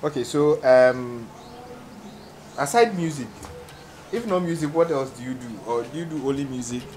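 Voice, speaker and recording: A man's voice: medium pitch, lively intonation, moderate pace, darkish tone. A man asking casual interview questions, informal and often rephrasing what he asks. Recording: clearly noisy background ambience.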